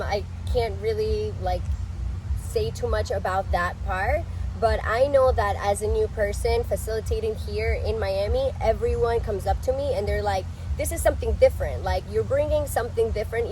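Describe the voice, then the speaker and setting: A young woman from Ecuador, chatting upbeat and warmly as an interview guest, with lots of "like" and "um". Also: fairly high pitch, rough recording. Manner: warm, chatty, upbeat, frequent "like" and "um". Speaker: guest, yoga teacher and event facilitator. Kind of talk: casual interview chat. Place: outdoors at a festival, traffic around. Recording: outdoor street noise.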